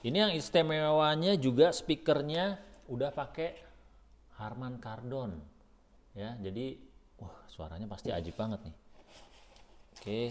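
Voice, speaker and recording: Deep-voiced man doing a casual unboxing. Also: slight background noise. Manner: hesitant, thinking aloud, informal. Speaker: product reviewer. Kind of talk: casual unboxing vlog, unscripted.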